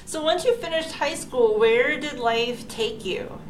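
A woman's voice: sits high, measured pace. A woman hosting an interview podcast, asking questions and giving short, encouraging replies. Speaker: podcast host. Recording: echoey room, faint noise.

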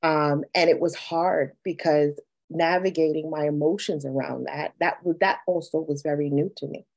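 Woman from barbados, therapist guest on an interview, low soft dark tone, reflective personal talk. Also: steady tone, moderate pace, creaky.

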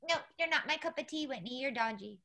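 A high, bright-voiced woman states her views firmly in loose podcast talk. She leans hard on the words she means. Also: lively intonation, fast, slight background noise. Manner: emphatic, opinionated. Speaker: podcast co-host. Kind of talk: casual chat.

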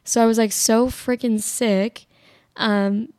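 A young woman with a raspy voice hosting her own podcast, chatting casually and rambling with plenty of "like", tired as she recovers from being sick. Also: on the high side, steady tone, slow, darkish tone, dry recording, studio quality. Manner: rambling, filler-heavy, lots of "like". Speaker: podcast host. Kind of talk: casual solo podcast chat.

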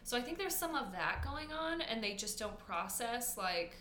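A woman's voice: on the high side, very animated, normal speed, pushed and bright, slight background noise. A young adult woman, a teacher co-hosting a podcast, chatting casually with lots of fillers and frequent laughs. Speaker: podcast co-host. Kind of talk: casual chat. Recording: echoey room with faint ambience.

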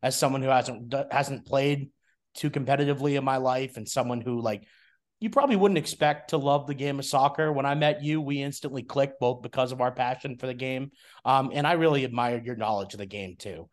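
A man's voice: medium pitch, brisk. A young man hosting a soccer podcast, chatting casually with a guest, with friendly rambling talk full of fillers and restarts. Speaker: podcast host. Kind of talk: casual interview chat.

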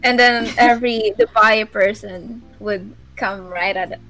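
A young woman co-hosting a podcast in casual chat, teasing and unhurried. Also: medium pitch, fairly even intonation, sharp tone, faint music behind, low-quality recording. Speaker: podcast co-host.

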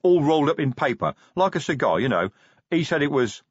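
A hulking male troll sergeant, gruff and blunt, bristling with defiance and mangling his grammar, as a male narrator puts him on. The voice rises and falls with lively swings. The recording is dry and a little muffled. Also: on the high side, a little fast, no room sound, voice pushed up. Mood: explaining. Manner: broken grammar, defensive and forceful. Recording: dry, slightly dull recording.

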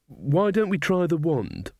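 Guy doing a nervy young witch girl, higher voice, polite n earnest, storybook. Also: huge pitch swings, unhurried, darkish tone, dry recording, clean studio sound, exaggerated voice. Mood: earnest, heated. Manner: hesitant, anxious, polite. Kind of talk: audiobook character voice.

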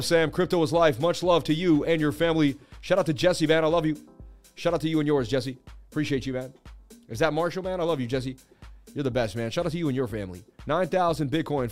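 A man hosting a crypto livestream, losing his voice, talking in hyped, boastful banter with his viewers. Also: on the high side. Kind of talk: casual livestream market commentary.

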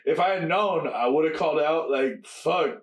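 A young man, fairly high-voiced for a man, chats casually on a podcast, telling quick stories and swearing freely. He sounds like he is in an echoey room. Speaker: podcast co-host. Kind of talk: casual chat.

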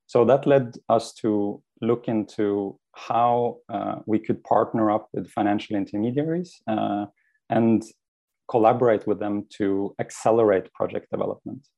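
A man's voice: fairly low pitch, fairly even intonation, measured pace, vocal fry, mellow, highs cut off. A young adult man, answering interview questions thoughtfully and often repeating words as he finds his phrasing. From Norway. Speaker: guest, startup co-founder.

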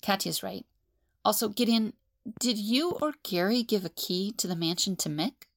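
An actress playing a calm, serious, sensible seer in an audio drama, measured and earnest. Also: female voice, medium pitch, dry recording, clean studio sound.

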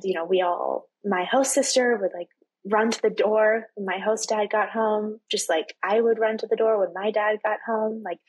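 A woman in her twenties from Texas, a student guest chatting casually in an interview, full of fillers and quick laughs. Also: medium pitch, slightly rough, no room sound, poor audio. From Texas, USA. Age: 20s. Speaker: podcast guest, university student.

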